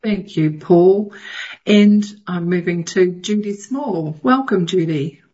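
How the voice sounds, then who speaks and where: A woman's voice: lowish voice, slow pace, warm tone, highs cut off. A woman chairing a meeting, giving brief introductions of each speaker.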